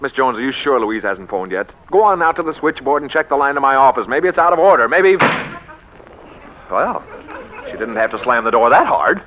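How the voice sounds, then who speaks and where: An actor playing a flustered, lovelorn businessman in 1940s radio drama style, with hesitant, halting delivery. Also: medium pitch, animated, a bit bright, lo-fi sound, a faint crowd, natural voice. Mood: impatient, agitated. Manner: hesitant, halting when emotional. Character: flustered, lovelorn businessman, impatient boss, adult man. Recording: noisy vintage 1940s radio recording.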